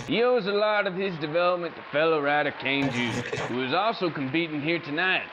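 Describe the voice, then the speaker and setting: Actor as folksy 80s rodeo commentator, highish voice, muffled noisy broadcast. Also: male voice, a little fast, lightly acted. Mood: admiring. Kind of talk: 1980s sports commentary acting. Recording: noisy, dull broadcast sound.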